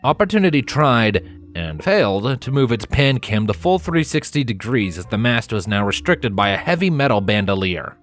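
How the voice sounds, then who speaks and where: A man's voice: mid-range voice, big pitch swings, moderate pace. A man narrating a scripted story as an audiobook-style reader.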